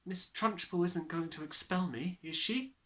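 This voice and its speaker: A man voicing an earnest, excitable little schoolgirl in a storybook, eager and insistent. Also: high-pitched, measured pace, voice pushed up. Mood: anxious.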